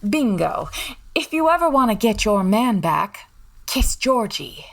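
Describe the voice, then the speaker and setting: A woman playing a poised, wry high-society lady detective in a comedic old-time radio style drama. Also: sing-song, measured pace, gravelly, exaggerated voice. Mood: sly, teasing.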